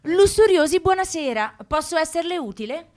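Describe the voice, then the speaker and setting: A woman's voice: very high voice, expressive, normal speed, a little mellow, pitched above the performer's own voice. A woman playing a gushing, helpful receptionist among the damned in a radio comedy sketch. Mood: gushing, friendly. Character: chatty, helpful female receptionist of the damned lustful.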